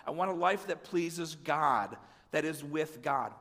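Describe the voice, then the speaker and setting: A man's voice: fairly high pitch, animated, a little slow, a bit bright, in a large hall. Man preaching with a head cold. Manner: conversational, asides to the congregation. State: has a cold, slightly raspy. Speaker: pastor. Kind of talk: sermon with scripture reading.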